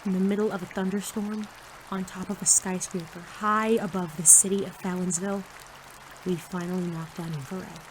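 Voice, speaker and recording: A woman's voice: on the low side, moderate pace, slight background noise, lightly acted, rough recording. A woman playing a cocky, earnest teenage rookie superhero who acts and narrates in an audio drama. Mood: earnest narrating. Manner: excitable, sarcastic, earnest in narration. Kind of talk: audio drama acting and first-person narration.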